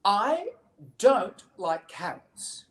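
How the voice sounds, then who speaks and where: A little girl bunny from a storybook, acted out by a woman, whines and pleads with great drama. The voice leaps high and drags words out slowly, stubborn to the end, in an echoey room. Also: lively intonation. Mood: whiny protest. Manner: pleading, stretched-out words, melodramatic.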